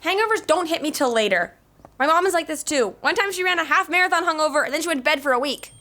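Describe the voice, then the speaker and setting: A woman's voice: high voice, animated, quick pace, pushed and bright. A woman playing a frantic, bossy young woman in a sitcom, urgent and exasperated.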